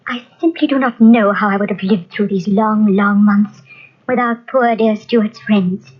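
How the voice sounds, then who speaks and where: An actress playing a sweet, genteel, coy young wife in a melodramatic old radio drama. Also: female voice, mid-range voice, unhurried, close to an everyday voice. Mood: coy, plaintive. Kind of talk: old-time radio acting. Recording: dull old radio recording.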